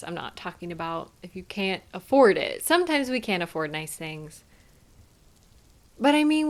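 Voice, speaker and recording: A woman playing a tender, doting girlfriend who soothes and gently coaxes her partner in an intimate one-sided roleplay. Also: mid-range voice. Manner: soothing, affectionate, gently coaxing, softly playful.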